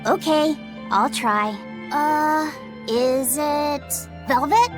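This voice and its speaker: A woman voicing a kindly, hesitant, soft-hearted young boy in a video game. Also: high-pitched, drawn-out words, over loud music. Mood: reluctant.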